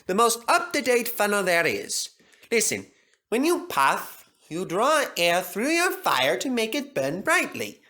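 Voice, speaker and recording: A man voicing an earnest railway gentleman who explains things carefully in a storybook reading. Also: high-pitched, wildly swooping pitch, a little slow, voice pushed up, full character voice. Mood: enthusiastic, instructive. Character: eager, official railway gentleman explaining a new invention.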